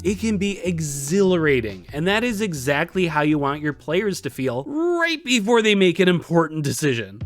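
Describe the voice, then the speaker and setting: A man's voice: a little high, fairly bright tone. A man narrating a scripted video lesson as presenter, emphatic and informal with playful exaggeration. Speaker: video essay presenter teaching game writing. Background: background music.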